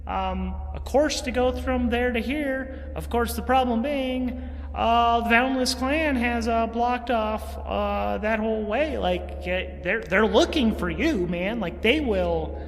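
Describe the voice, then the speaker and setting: A man's voice: extremely high pitch, slow pace, big-room sound, voice pushed up, full character voice. A man voicing a cheeky, foul-mouthed six-year-old girl in a tabletop space adventure, slangy and offhand. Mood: offhand warning.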